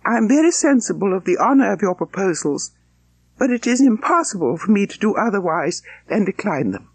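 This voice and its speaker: A man plays a spirited young lady from a classic novel. The voice is lifted high and lively, brisk and firm while staying formally polite. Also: no echo, heavily acted. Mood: firm, urgent. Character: spirited, polite but firm young gentlewoman refusing a suitor. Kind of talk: audiobook character acting.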